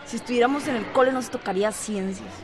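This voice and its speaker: An actress playing a lively, playful teenage schoolgirl in a radio soap opera. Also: fairly high pitch, fast talker, crowd noise, close to an everyday voice.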